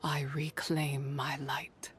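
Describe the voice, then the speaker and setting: A woman's voice: sits low, level tone, background noise. An actress voicing a solemn female adeptal spirit, a remnant of wrath, speaking formally in a dramatic game scene. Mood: solemn resignation. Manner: formal, measured, archaic phrasing. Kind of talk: dramatic scripted game voice acting.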